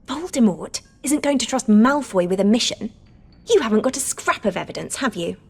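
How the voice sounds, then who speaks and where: A sensible British schoolgirl witch, precise and matter-of-fact, with a fairly high voice. She sounds like a cautioning friend in a video game. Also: lively intonation, normal speed, vocal fry, husky, exaggerated voice. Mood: sceptical, advising. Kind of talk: video game character acting.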